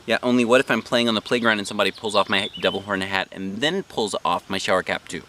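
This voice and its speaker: A man's voice: lowish voice, quick pace, pushed and bright, slight background noise. A man voicing a dramatic, anxious, stubborn little schoolgirl in a storybook reading, with emphatic childlike phrasing. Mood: earnest scheming.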